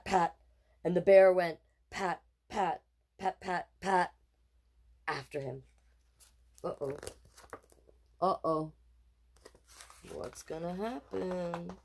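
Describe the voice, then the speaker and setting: A woman reading a children's picture book aloud in an expressive, playful way, with casual asides as storytime host. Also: on the low side, slight background noise. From Canada. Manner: expressive, deliberate, playful sound words. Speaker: storytime host and drag king performer.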